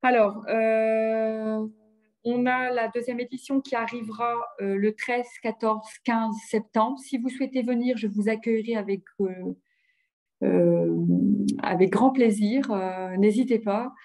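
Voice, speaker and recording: A woman's voice: on the high side, steady tone, measured pace, a little mellow. A woman giving an informal webinar slide presentation, often hesitating and repeating words as she looks for her phrasing. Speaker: presenter of local health programmes.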